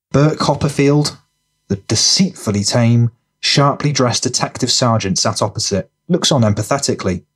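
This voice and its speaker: Male narrator for crime fiction audiobook, deeper register, slightly rough husky voice, scripted storytelling.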